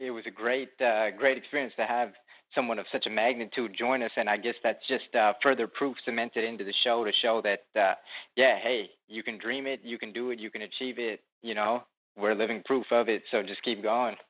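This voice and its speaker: A man co-hosting a podcast, quickly giving upbeat thanks and encouragement. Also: medium pitch, steady tone. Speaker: podcast co-host. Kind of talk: casual show outro. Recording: phone-like narrow line.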